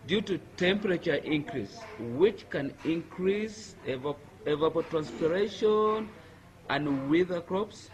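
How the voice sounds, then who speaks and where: Man, Ugandan accent, fairly high voice, plain measured talk. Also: poor audio. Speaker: guest speaker. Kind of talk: prerecorded talk.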